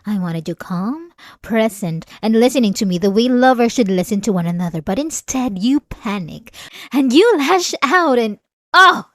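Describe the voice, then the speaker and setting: A woman's voice: a little high, expressive, no room sound, lightly acted. A woman playing a centuries-old, possessive vampire lover in an ASMR roleplay, silky and condescending, with sweet menace, close to the mic. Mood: obsessive frustration. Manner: slow, silky, condescending endearments, teasing menace. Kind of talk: ASMR roleplay, dramatic monologue. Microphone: close, dry studio-style mic.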